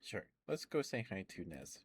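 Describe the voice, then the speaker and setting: A man with a fairly low voice talks softly and gently into a close ASMR microphone. He reassures and chats with his stream audience in a calm, unhurried way. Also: slight background noise. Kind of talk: whispered ASMR affirmations and casual stream chat. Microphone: close ASMR microphone with headphones.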